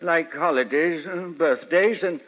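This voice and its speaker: An actor playing a simple, deferential household servant, speaking haltingly and respectfully in an old-time radio play. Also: male voice, a little high, close to an everyday voice. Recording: dull old radio recording, long reverb.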